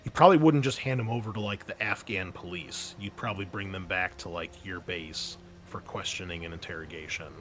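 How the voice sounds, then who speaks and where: A man running a tabletop game as game master, explaining and narrating casually in a halting, conversational way. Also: deep voice, a bit bright, soft background music.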